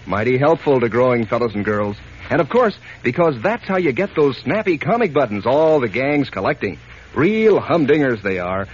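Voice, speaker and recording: A man's voice: very animated, normal speed. An American man announcing in a folksy, enthusiastic 1940s radio advertising style aimed at children. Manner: folksy, enthusiastic sales pitch. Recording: dull old radio recording, faint noise.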